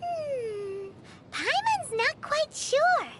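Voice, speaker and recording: A woman voicing a tiny, childlike, excitable fairy companion in scripted game dialogue, with a squeaky, exclamatory delivery. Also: drawn-out words, slight background noise, exaggerated voice. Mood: puzzled. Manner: exclamatory, refers to self in third person.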